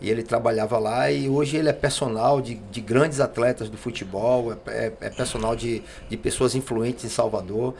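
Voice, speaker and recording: Male podcast host, gravelly fry, middling pitch, halting casual questions. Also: steady tone, darkish tone, a little hiss or hum. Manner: halting, repeats words as he forms questions. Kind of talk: casual interviewing.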